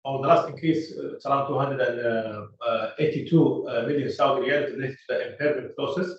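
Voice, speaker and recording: A man presenting financial results as a company executive on an earnings call, speaking in a halting, measured way with many hesitant pauses and uhs. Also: mid-range voice. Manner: halting, measured explanation of figures. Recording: echoey, distant, muffled conference call audio.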